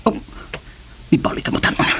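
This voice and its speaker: A man's voice: a little fast, bright, forceful tone, a little hiss or hum, natural voice. An actor playing a hot-tempered, scheming man in a radio drama, muttering to himself and cursing. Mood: brooding, scheming. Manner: muttering to himself, bursting into curses.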